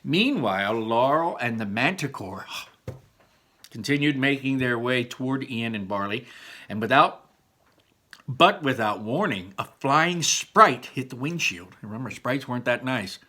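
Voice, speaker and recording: Male read-aloud storyteller, bright forceful voice, expressive, drops into chatty explanations for young listeners. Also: medium pitch, measured pace. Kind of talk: animated children's book read-aloud with chatty asides.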